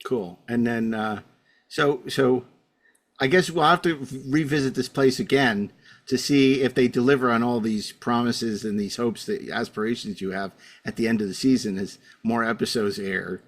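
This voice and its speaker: A man's voice: medium pitch, moderate pace. A man hosting a podcast in a casual, rambling way, often correcting himself as he goes. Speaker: podcast host.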